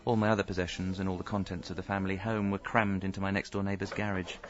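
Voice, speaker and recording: An actor playing a rueful, self-pitying middle-aged husband, narrating his misfortunes deadpan in a radio comedy. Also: deep voice, fast, very noisy, natural voice. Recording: slightly dull audio, faint music.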